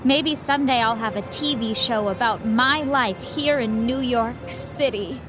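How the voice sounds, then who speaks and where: An actress playing a starry-eyed young newcomer to the city, gushing in a dreamy sitcom-style monologue. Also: female voice, fairly high pitch, measured pace, close to an everyday voice. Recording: prominent music bed.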